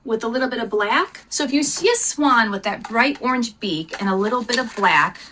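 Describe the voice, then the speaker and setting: A woman's voice: on the high side, animated, a little fast, sharp tone, slight background noise. A woman hosting a live lesson for children, explaining things instructively, spelling out words and speaking directly to her viewers. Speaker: homeschool teacher, lesson host.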